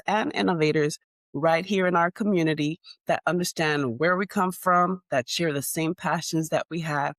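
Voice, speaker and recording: A woman's voice: low voice, no room sound. A woman hosting a podcast, reading a polished scripted introduction and asking interview questions. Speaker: podcast host.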